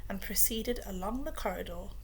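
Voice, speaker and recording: Woman storybook reader, expressive, hushed, gravelly texture. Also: medium pitch, moderate pace, slight background noise, rough recording. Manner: expressive, often dropping to a hushed whisper. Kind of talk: children's story reading.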